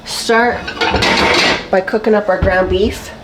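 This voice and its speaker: A woman's voice: medium pitch, steady tone, slow, very noisy. A woman fitness vlogger talking casually while she cooks, sounding a little stuffy and nasal after a cold, upbeat and full of fillers. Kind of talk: casual chatty vlog while cooking. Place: home kitchen. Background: faint sizzling of frying food.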